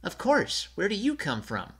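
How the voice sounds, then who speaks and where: A man's voice: high voice, wildly swooping pitch, moderate pace, fairly bright tone, slightly rough, pitched above the performer's own voice, full character voice. A man voicing small, young, curious mice in a children's storybook, with an eager manner.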